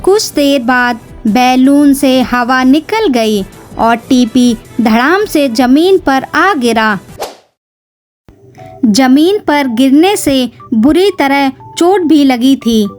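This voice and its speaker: A woman's voice: sits high, slow, faint music behind. A woman narrating a scripted children's story.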